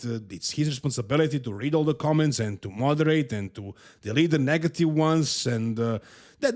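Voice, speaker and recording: A man's voice: on the high side, a little mellow. A man from Serbia with a Serbian accent, a native Serbian speaker, hosting a casual bodybuilding commentary and giving his emphatic, opinionated take with frequent repeats.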